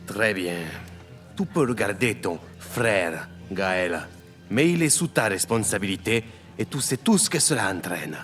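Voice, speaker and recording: Un acteur joue un chef de famille sévère et intimidant, autoritaire et solennel, dans une fiction audio. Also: male voice, lowish voice, expressive, unhurried, a bit bright, soft background music. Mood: cold, stern. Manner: authoritative, cold, formal. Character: stern, intimidating adult male head of a clandestine family.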